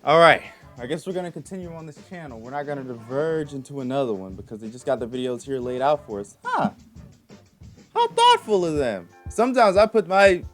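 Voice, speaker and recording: A man's voice: fairly high pitch, big pitch swings, a little fast, faint music behind. A young man hosting a reaction video, chatting casually in slangy, informal language and often repeating himself.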